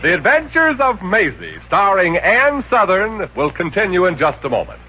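A man's voice: sits high, very animated, normal speed. A man announcing a 1950s radio show. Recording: muffled vintage radio, loud music.

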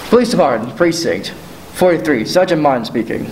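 A man acting an official desk sergeant in a vintage radio drama. His voice is fairly high and swings in pitch as he announces, with faint noise behind it. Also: expressive, a little fast, lightly acted. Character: businesslike male police desk sergeant. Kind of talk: 1940s radio play acting.

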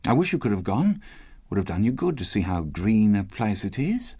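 A man's voice: on the low side, sing-song, moderate pace, dark tone, slightly rough, no room sound. A man voicing a kindly, humble father with fragile cheer over his grief in a storybook reading. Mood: fond, wistful.

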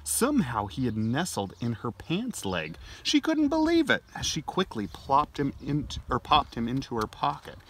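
A man in his thirties hosting storytime for young children outdoors, with an animated, gentle and expressive delivery. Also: medium pitch, normal speed, few pauses. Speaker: library storytime host. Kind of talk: read-aloud storytelling and chat for young children. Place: outdoors in a leafy garden. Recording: outdoor background noise.

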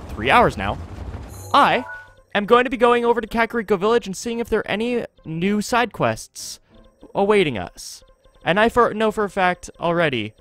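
A man's voice: high-pitched, a bit bright. A young man hosting a casual game playthrough with wry, joking, exaggerated exasperation, speaking into a close microphone. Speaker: gaming video host. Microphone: close USB desktop microphone.

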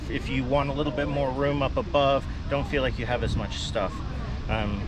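A man in his thirties explaining his product at an outdoor expo, matter-of-fact with frequent ums. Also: medium pitch. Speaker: gear vendor. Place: outdoor expo, next to a truck. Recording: noisy outdoor background.

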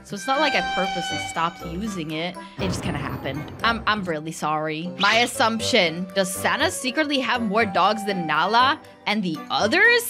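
A young woman, a native Swedish speaker, hosting a casual gaming video with playful, expressive, slightly dramatic chat. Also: mid-range voice. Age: young adult. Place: small bright indoor room. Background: background music.

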